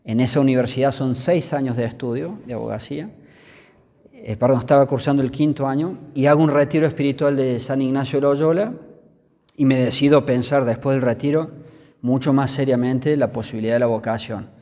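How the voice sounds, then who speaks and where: A man in his fifties with an Argentine accent, telling his story in a measured, pausing way as a priest being interviewed. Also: mid-range voice, dark tone. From Córdoba, Argentina. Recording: long reverberant hall.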